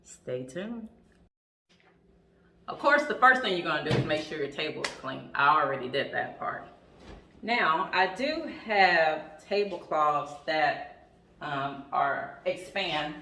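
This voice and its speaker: A woman talks through her vlog in a bright, gravelly voice that has gone raspy from a sore throat. She chats loosely, with plenty of ums and restarts, and a faint hiss of noise sits behind her. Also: deep voice, distant mic. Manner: chatty, with frequent ums and restarts. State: throat trouble, clears throat. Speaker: vlogger. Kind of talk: casual vlog chat.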